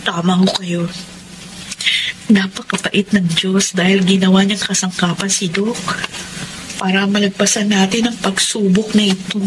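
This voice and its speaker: A gentle, polite young Filipino tutor in a radio drama, acted by a woman. She speaks earnestly at a middling pitch, over clearly noisy background sound. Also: little pitch movement, normal speed, close to an everyday voice. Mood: humble, earnest. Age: young adult. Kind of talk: Tagalog radio drama acting.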